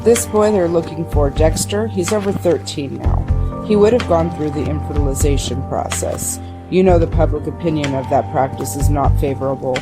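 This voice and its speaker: An actress playing a deferential but candid woman hacker in an audio drama, careful and quietly firm. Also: sits low, normal speed, over music. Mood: businesslike.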